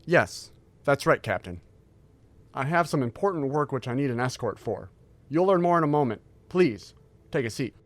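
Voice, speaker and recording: A man playing a tall, secretive military scientist who speaks in a formal, measured briefing manner in an audio drama. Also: medium pitch, lively intonation, no room sound, natural voice. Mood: guarded, polite.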